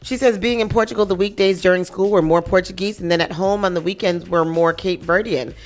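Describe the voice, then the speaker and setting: A woman's voice: on the low side, fairly even intonation, fairly quick. A woman hosting a morning radio talk show, chatting and reading local news aloud with asides. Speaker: radio host.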